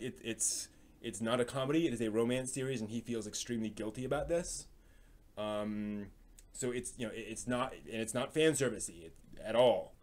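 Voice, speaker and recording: A man hosting a live stream, chatting casually and giving recommendations in a halting way, often thinking aloud. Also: lowish voice, a little mellow. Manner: halting, thinks aloud, hums filler syllables while searching.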